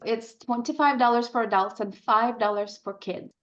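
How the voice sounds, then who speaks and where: A woman's voice: fairly high pitch, normal speed, muffled recording. A woman speaking as an interview guest, an opera composer in conversation on the radio.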